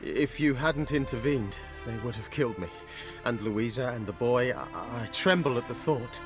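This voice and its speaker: An actor playing a grateful, shaken male mage in a fantasy video game, earnest and halting. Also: mid-range voice, expressive, over music, natural voice. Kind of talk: dramatic video game dialogue.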